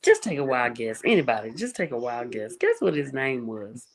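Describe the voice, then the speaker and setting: A woman with a very low, creaky, soft-spoken voice hosts a casual live stream. She tells stories in a relaxed, chatty way and laughs often. Her voice has a gravelly fry. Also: moderate pace. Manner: laughs often, chatty storytelling, greets viewers. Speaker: livestream host.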